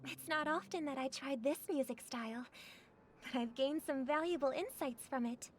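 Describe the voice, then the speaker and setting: A gentle, modest young songstress from a video game, played by an actress, with a high, gracious, soft-spoken delivery. Faint ambient noise sits behind the voice. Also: female voice, normal speed, natural voice. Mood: gentle, sincere.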